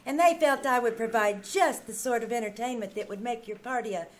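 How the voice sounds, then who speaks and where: Woman playing a coy, high-voiced showgirl. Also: a little fast, slight background noise, close to an everyday voice. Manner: coy, suggestive, teasing. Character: coy, sassy female balloon dancer, brazenly businesslike. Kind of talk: old-time radio comedy acting.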